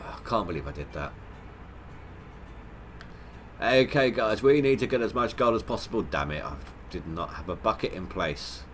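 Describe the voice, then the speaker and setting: Deep-voiced guy streaming a game, stuffy nasal cold, rambles and restarts, bit of hiss. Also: animated, fairly quick, fairly bright tone. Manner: rambling, stop-start, self-mocking. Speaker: gaming livestreamer. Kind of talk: casual gameplay commentary.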